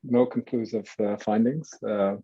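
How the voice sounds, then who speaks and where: Man from Lebanon, low mellow voice, hesitant and halting, lo-fi webinar audio. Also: steady tone, slightly rough. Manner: halting, many fillers and restarts. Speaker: guest, data science evangelist. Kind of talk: hesitant casual chat.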